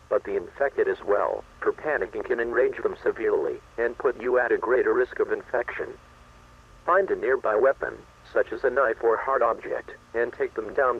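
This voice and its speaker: A man playing a grave, authoritative emergency alert announcer, reading formal scripted instructions in a husky voice over dull broadcast audio. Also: medium pitch.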